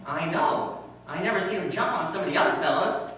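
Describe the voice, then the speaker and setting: A man playing an eager, anxious high school student, polite and boyishly complaining, in an old-time radio comedy. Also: brisk, pushed and bright. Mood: plaintive. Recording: very echoey, distant, noisy room recording.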